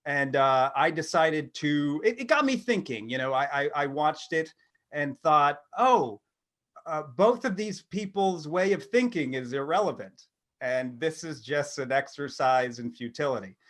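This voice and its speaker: A man in his thirties with a somewhat high voice. He talks like a comedy podcast co-host, wry and self-deprecating, with a halting, casual delivery. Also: lively intonation, fairly bright tone. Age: 30s. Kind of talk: casual comedic monologue and chat.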